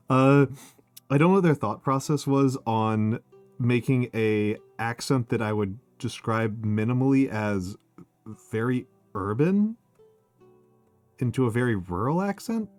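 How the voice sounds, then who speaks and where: An American man chatting casually on a livestream, talking in halting bursts full of fillers and restarts. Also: mid-range voice, expressive, darkish tone, dry recording, soft background music. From United States. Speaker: streamer. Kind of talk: casual chat.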